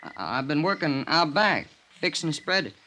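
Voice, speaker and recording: A man playing a nervous, slow-witted rural farmhand in an old radio drama, halting and repeating himself defensively. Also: a little high, big pitch swings, pushed and bright, exaggerated voice. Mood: timid, flustered. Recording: noisy vintage radio recording.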